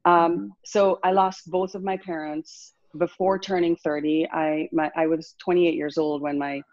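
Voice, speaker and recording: A woman's voice: on the low side, flat delivery, a little mellow, no echo, highs cut off. A woman in her sixties from Jamaica with a Jamaican accent, a wellness coach reflectively telling her life story as an interview guest. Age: 60s. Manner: reflective, unhurried, many fillers and restarts.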